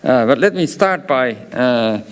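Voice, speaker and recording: A man's voice: mid-range voice, animated, measured pace, clean tone. A man from Belgium with a Flemish accent, a professor giving a graduation ceremony speech, hesitant and full of filler sounds, with chatty asides. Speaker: professor presenting diplomas.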